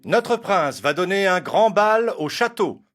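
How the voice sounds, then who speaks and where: High-voiced, stately royal herald played by a man. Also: expressive, a little slow, fairly bright tone, faint music behind. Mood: proclaiming. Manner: declamatory, stately. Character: formal, proud royal herald proclaiming to the kingdom. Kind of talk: children's storybook dramatization.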